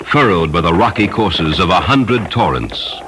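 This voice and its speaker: A man narrating a literary story in a solemn, measured, dramatic way. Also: deep voice, expressive, background noise. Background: music behind the voice.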